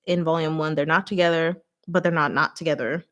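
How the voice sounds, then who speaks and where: A woman hosting a live recap in a casual, chatty, expressive style, talking to her audience like a fellow fan. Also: sits low, fairly quick, dull audio. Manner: chatty, expressive, giggly, slangy. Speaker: host of a live book recap and discussion.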